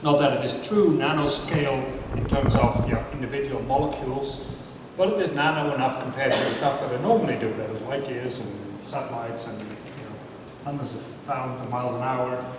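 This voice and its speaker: Man in his fifties, chatty public talk, self-deprecating, booming hall echo, heard from a distance. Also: a little fast, few pauses. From Netherlands. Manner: chatty, self-deprecating, asks the crowd questions. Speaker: speaker at a science talk night. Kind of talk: casual public talk with audience banter. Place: large hall before a live audience. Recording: echoey hall, mic from afar, noisy.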